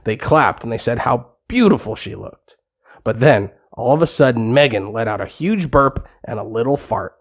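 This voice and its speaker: A man's voice: mid-range voice, lively intonation, moderate pace. A man narrating a scripted bedtime story for kids.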